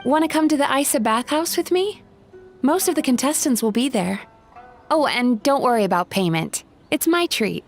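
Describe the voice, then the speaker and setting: High-voiced, kindly young woman. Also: moderate pace, soft background music. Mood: friendly. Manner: polite, expressive. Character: kindly, helpful young woman, polite and sociable. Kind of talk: video game voice acting.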